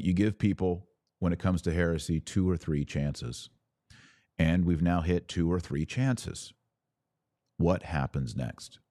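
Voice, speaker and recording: Low-voiced man giving religious commentary. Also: darkish tone, dry recording, clean studio sound. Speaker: podcast host and author. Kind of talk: religious commentary with read-aloud passages.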